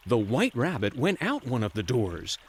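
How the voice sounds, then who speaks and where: A storyteller narrating a bedtime fairy tale in an expressive, playful storybook manner. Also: medium pitch, normal speed, breathy edge.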